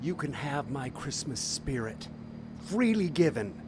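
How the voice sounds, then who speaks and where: An actor playing a desperate, flustered washed-up stage magician in an audio drama, pleading haltingly. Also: male voice, fairly high pitch, sing-song, background noise.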